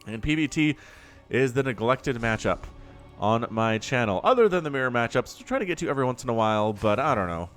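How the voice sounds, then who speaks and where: A man's voice: mid-range voice, expressive, brisk, soft background music. A young man with a sniffly cold, giving chatty solo commentary on a strategy game and wandering off on jokey tangents. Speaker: StarCraft shoutcaster.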